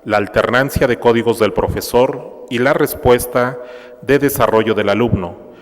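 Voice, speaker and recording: Formal male announcer, reverberant hall. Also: mid-range voice. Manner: formal, measured. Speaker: event announcer. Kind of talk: formal introduction. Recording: long reverb in a lecture hall.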